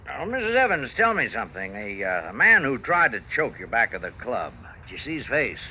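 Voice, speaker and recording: An actor playing a sceptical police officer in an old radio drama, questioning in a halting, probing way. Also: male voice, mid-range voice, very animated, husky. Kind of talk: 1940s-1950s radio drama acting. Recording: dull, hissy old radio recording.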